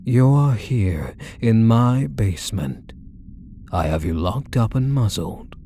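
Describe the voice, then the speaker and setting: A man playing a possessive, controlling kidnapper husband in an ASMR roleplay, deliberate and menacing, with condescending endearments. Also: on the low side, lively intonation, dark tone, slight background noise. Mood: cold, commanding. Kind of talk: scripted ASMR roleplay, addressing the listener.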